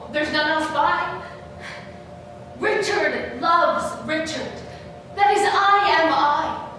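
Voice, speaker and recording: A woman acts out a haunted, power-hungry female king in a staged Shakespeare soliloquy. The high voice moves with slow, dramatic deliberation. It is heard from a distance in a very echoey space, with clear background noise. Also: heavily acted. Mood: agitated, alarmed. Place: live staged reading in echoey room. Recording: echoey room, distant mic, noisy.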